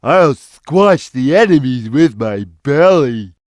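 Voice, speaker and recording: A big, gentle cat from a video game. The speech is slow and simple, yet it swings widely in pitch. The recording is very dry. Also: male voice, animated, no room sound. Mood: calm, instructive. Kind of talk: video game character lines.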